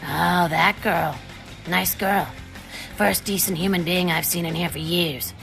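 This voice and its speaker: A world-weary American waitress speaks low, with a heavy creak. She is laconic, folksy and kindly, a video game character over faint guitar music. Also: steady tone, measured pace, a bit bright. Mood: wistful, kindly.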